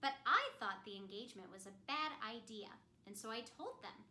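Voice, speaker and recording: A woman's voice: fairly high pitch, very animated, moderate pace, sharp tone. A woman telling a children's story in the first person as an ice queen, theatrical and speaking simply and directly to children. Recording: roomy echo.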